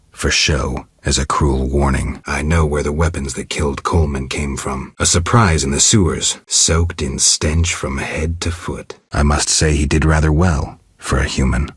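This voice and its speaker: A man voicing a stoic, world-weary monster hunter in a video game, with a gravelly, husky voice and terse, deadpan delivery. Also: rumbling low, dry recording, studio quality, full character voice. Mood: somber, then dry, detached. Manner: terse, deadpan, clipped phrases. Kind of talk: video game monologue, reflective narration.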